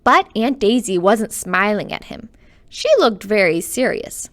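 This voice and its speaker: Female children's librarian, expressive storybook read-aloud, animated and conversational with young listeners. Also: medium pitch, measured pace. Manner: animated, chatty, addressing young listeners directly.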